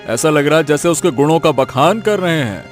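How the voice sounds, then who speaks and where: A man's voice: a little high, animated, fairly quick, lightly acted. A man voicing an overbearing, scheming rich village father in a cartoon moral tale. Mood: gossipy, puzzled. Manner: pompous, rustic wheedling talk. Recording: music faintly behind the voice.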